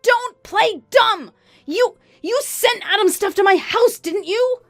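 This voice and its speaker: Woman acting a shrill, furious female villain. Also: slow, no echo, studio quality, faint music behind, heavily acted. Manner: shrill, demanding, indignant. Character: furious, shrill adulterous best friend, adult woman. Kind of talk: acted dialogue in a narrated story.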